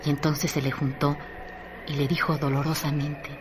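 A woman's voice: low-pitched, level tone, normal speed. A woman giving a dramatic, literary narration as the narrator of a radio drama. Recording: noisy radio drama track.

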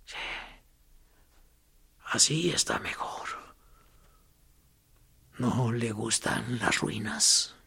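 Radio play: a man voicing a broken prisoner, raspy whisper, slow and gravelly, taunting his captor. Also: medium pitch, pushed and bright, exaggerated voice. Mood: mocking. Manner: hoarse whispering, sarcastic and morbid. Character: tortured male prisoner, defiant and mocking. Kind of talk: radio drama acting.

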